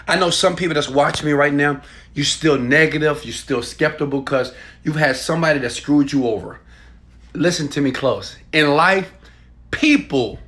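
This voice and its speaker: A man giving an emphatic, insistent motivational sales pitch, speaking straight to the viewer as an online marketer. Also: sharp tone.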